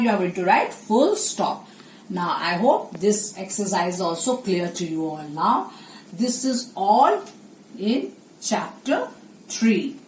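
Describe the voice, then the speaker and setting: A woman, teaching an online class and slowly repeating answers for young pupils. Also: medium pitch, reverberant, slight background noise, rough recording. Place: small indoor room.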